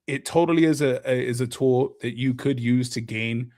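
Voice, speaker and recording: Guest creator, mid-pitch man, lively explainer with game talk. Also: moderate pace. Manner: animated, explains with gaming comparisons. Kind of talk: casual podcast chat.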